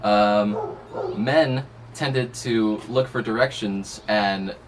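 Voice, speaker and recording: A man's voice: lowish voice, extremely slow, a little hiss or hum. A young man explaining a class experiment in a loose way, with fillers. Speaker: student presenter.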